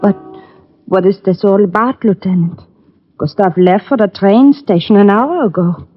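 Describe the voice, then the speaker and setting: A woman's voice: medium pitch, moderate pace, soft background music, natural voice. Woman playing an anxious radio drama sister. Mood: worried. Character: anxious, puzzled sister of missing gunmaker. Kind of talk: 1940s-50s radio drama acting. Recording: muffled vintage radio recording.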